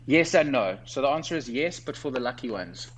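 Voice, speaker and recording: A man's voice: mid-range voice, expressive, brisk. A South African man speaks as a guest in an interview, laying out his points one by one in an orderly, articulate way. He sounds a little tired, as it is late at night for him. A faint hum runs underneath his voice. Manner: articulate, structured explanations. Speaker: guest entrepreneur. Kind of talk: conversational interview.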